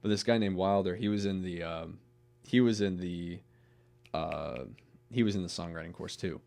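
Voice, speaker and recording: Deep-voiced young man, rambling casually. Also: moderate pace, vocal fry, darkish tone. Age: young adult. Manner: rambling, many restarts and swearing. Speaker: podcast guest. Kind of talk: casual chat.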